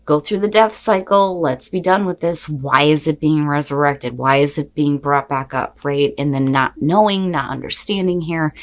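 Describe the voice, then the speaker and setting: A woman hosting an unscripted tarot reading, talking straight to viewers in an expressive, conversational way with rhetorical questions and salty language. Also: deep voice. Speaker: tarot reader and host.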